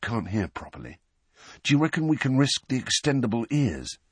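A man's voice: mid-range voice, big pitch swings, moderate pace, slightly rough, no room sound, voice pushed up. A man voicing a mischievous teenage boy with a wry, teasing manner.

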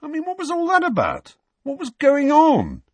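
A man's voice: on the low side, lively intonation, brisk, slightly rough, dry recording. A man voicing a baffled, easily flustered teenage boy wizard with an exasperated, spluttering manner in an audiobook.

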